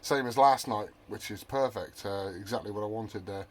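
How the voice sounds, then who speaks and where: A man's voice: lowish voice, moderate pace. A man chatting casually as a hobby radio operator, rambling and often correcting himself mid-thought.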